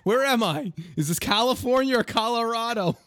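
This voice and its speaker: A man's voice: very high-pitched, expressive, normal speed, a bit bright, dry recording, studio quality. A man co-hosting a radio show, joking playfully and reading out chat messages. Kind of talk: casual chat.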